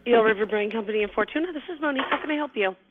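High-pitched woman on a phone line, puzzled by the caller. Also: very fast, noisy background. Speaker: restaurant staff on the phone.

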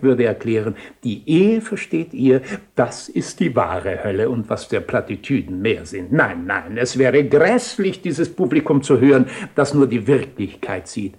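An actor playing a worldly, eloquent bishop in a radio play, with a courtly, slightly pompous and wry manner. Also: male voice, medium pitch, darkish tone, close to an everyday voice. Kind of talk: 1970 radio play acting.

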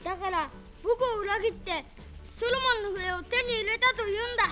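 A woman's voice: extremely high pitch, fairly quick. A woman playing a bold, commanding boy sorcerer, declaiming urgently in a vintage children's audio drama. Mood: determined. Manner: urgent, declamatory, giving orders. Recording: vintage record drama with music behind.

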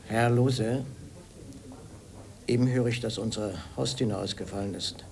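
A man's voice: medium pitch, steady tone, measured pace, vocal fry, darkish tone. A man playing a formally polite guesthouse official in a radio drama. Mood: polite, hesitant.

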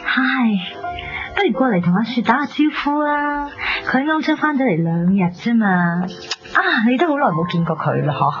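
Brash, animated young Hong Kong woman. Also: on the high side, normal speed. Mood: breezy, needling. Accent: Hong Kong Cantonese. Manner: blunt, teasing, exclamatory. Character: nosy, brash, teasing young woman, bossy friend. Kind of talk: 1990s radio drama dialogue. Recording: dull lo-fi radio recording. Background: prominent music.

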